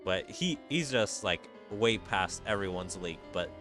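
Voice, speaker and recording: A man's voice: fairly low pitch, lively intonation, measured pace, bright, forceful tone, faint music behind. A man giving casual commentary while he plays a video game. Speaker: streamer playing a mobile game.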